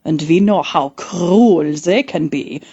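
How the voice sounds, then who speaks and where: Woman acting a slow, melodramatic villainess nurse. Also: fairly low pitch, mellow, breathy edge, highs cut off. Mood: smug, menacing. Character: scheming villainous woman nurse, gleefully self-satisfied. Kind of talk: comedic audio drama acting.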